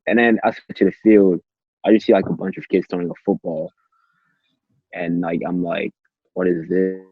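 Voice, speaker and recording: A teenage young man from Cameroon, a native French speaker, chatting casually and haltingly as a podcast guest on a slightly muffled recording. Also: deep voice, flat delivery, husky, dry recording. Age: teens. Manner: halting, repeats himself. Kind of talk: casual chat, anecdotes.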